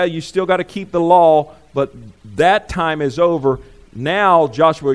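A male preacher speaking off the cuff to his congregation, in a voice of ordinary middle pitch. He rambles and corrects himself mid-phrase, then rises loud and high when he drives a point home. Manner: rambling, self-correcting, calls for amens. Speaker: pastor.

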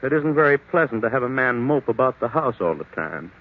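Through a narrow, tinny old radio recording comes a bewildered husband. His mid-pitched voice is slightly rough and hesitant. He sounds anxious and apologetic, in vintage mystery drama style. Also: very animated, fairly quick. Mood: weary, apologetic. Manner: hesitant, faltering, repeats himself.

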